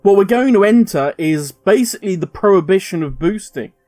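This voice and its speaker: A man's voice: high voice, lively intonation, fairly quick, darkish tone, no echo. A man hosting a gaming video, giving emphatic, opinionated commentary straight to the viewer. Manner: emphatic, ranting, direct address.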